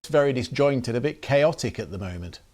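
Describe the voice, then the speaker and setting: Male news interviewer, middle-pitched. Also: expressive, brisk, darkish tone.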